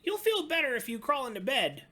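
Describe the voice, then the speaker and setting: A man's voice: very high voice, sing-song, brisk, bright, forceful tone, pitched above the performer's own voice, full character voice. A man voicing a small, bossy but caring bear cub in a children's storybook, with an insistent, scolding way of talking. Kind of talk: children's storybook read-aloud.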